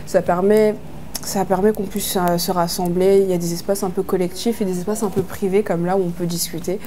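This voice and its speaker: A woman from Brazzaville, soft-spoken and shy, talking to camera in a reality-show confessional. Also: on the low side, fairly even intonation, a little fast, slight background noise. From Republic of the Congo, Brazzaville.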